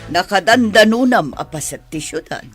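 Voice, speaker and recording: A woman's voice: medium pitch, lively intonation, normal speed, soft background music, natural voice. A woman playing a blunt village gossip in a radio drama. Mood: prying.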